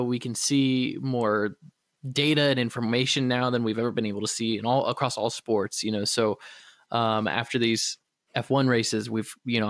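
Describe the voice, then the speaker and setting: A man's voice: mid-range voice, no room sound. Curious man co-hosting, dry sound. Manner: curious, halting, many fillers. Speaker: podcast co-host. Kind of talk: casual podcast chat.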